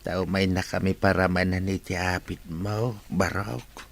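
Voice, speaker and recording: An elderly, good-natured father in a radio drama, played by a man. His voice is low and gravelly, and he talks very slowly. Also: little pitch movement. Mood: grateful, pleased. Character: old, good-natured father of grown children.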